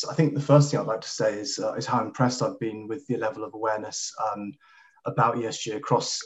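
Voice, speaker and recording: Low-voiced hesitant male guest. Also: darkish tone, low-quality recording. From Kenya. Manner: hesitant, many fillers and restarts. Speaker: guest, sustainability head. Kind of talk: podcast interview.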